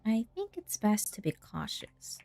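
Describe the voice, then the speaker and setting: A woman's voice: medium pitch, sing-song, a little slow, creaky, warm tone, soft background music. A woman giving casual gameplay commentary as a streamer. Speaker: game streamer.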